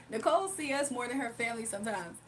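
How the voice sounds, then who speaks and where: A woman's voice: a little high, noisy background. A young woman streaming live, chatting casually with her viewers, laughing often and reading out chat messages.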